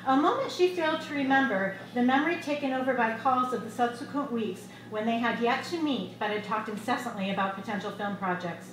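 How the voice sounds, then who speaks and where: A middle-aged woman reading her own short fiction aloud at a live event, in a measured, expressive storytelling delivery. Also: a little high. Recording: echoey bar room with loud background noise.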